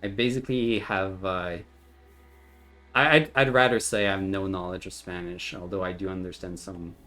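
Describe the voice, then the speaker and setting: A man's voice: sits low, fairly even intonation, normal speed, fairly bright tone. A streamer whose first language is French, chatting casually and laughing easily while he plays. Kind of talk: casual gameplay chat.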